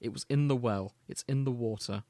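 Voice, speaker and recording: Male podcast host, medium pitch, storytelling read of amateur fiction with informal asides, very dry sound. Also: a little fast, warm tone, no room sound. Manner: storytelling, alternating read-out prose with casual asides. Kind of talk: reading and summarizing fan fiction.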